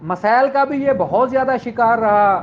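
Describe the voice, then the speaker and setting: An earnest male law lecturer with a strikingly high voice. He speaks warmly and directly to his listeners as sisters and brothers. The sound is a little dull, with a faint background hiss. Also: moderate pace. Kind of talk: motivational lecture.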